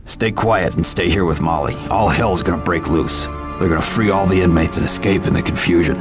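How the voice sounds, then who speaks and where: An actor playing a grim, cold male vigilante who speaks in a terse, deadpan, gravelly way in a video game. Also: deep voice, fairly quick, close to an everyday voice. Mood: cold, resolved. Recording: loud music over the voice.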